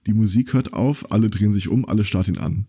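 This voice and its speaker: A man talks in a low register with a warm, dark tone. He hosts a casual podcast and tells his stories loosely, full of fillers and restarts. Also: fast talker, breathy edge. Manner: rambling storyteller, many fillers and restarts. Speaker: podcast host.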